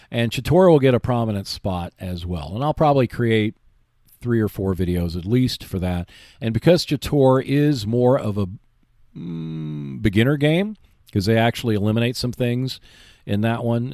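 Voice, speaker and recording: Low-voiced man hosting a gaming podcast. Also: mellow, dry recording, clean studio sound. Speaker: podcast host. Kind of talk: solo gaming podcast, explanatory talk with tips.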